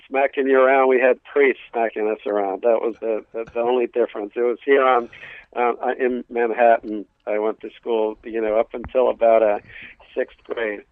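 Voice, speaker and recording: A man's voice: mid-range voice, dry recording. A middle-aged man with a New York-area accent, a native Greek speaker, telling jokey stories as an interview guest in a rambling way with stammering restarts. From New York and New Jersey, US. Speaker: guest, stand-up comedian. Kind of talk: casual comic storytelling in an interview. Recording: dull lo-fi radio sound.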